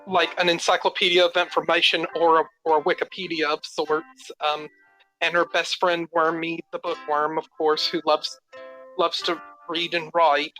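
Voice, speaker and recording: Guy phoning into a show, flat delivery, bright voice, kinda halting, faint music behind. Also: on the high side, low-quality recording. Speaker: caller. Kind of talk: casual call-in remarks.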